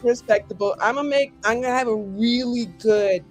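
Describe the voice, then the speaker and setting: A woman's voice: a little high, fairly quick, faint music behind. A woman player casually improvising narration of her character's actions in a tabletop game.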